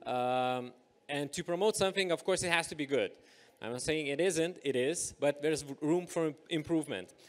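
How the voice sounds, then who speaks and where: Man, panel moderator, upbeat, lots of fillers, fairly high voice. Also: normal speed. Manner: energetic, many uh fillers. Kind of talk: hosting a live panel discussion. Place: event stage.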